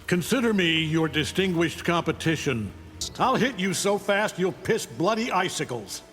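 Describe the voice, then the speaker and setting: A man's voice: high-pitched, animated, normal speed. A man playing an arrogant, stern middle-aged alien warlord in a video game, haughty, taunting and menacing. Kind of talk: video game fight-intro acting. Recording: loud music behind the voice.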